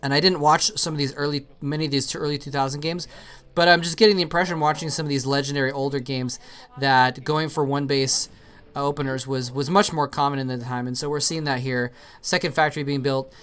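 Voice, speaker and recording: A man's voice: fairly high pitch, fairly even intonation, fairly quick, fairly bright tone, smooth. A young man hosting and commentating an old esports match solo, chatty and rambling with many fillers, lighting up at the plays. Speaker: esports commentator, show host.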